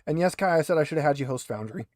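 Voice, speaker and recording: A man's voice: fairly high pitch, lively intonation, very fast, darkish tone, no echo, studio quality. A man acting as game master, chatting casually and explaining the rules step by step. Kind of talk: casual tabletop game chat.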